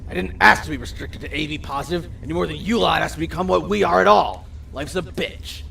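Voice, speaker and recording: A man's voice: fairly high pitch, fairly quick, vocal fry, bright, forceful tone, far from the mic, a little hiss or hum, full character voice. A man playing a short-tempered, sarcastic vampire gang boss who swears and barks orders, in an amateur audio drama. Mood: impatient lecturing. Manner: profane, sarcastic, bossy.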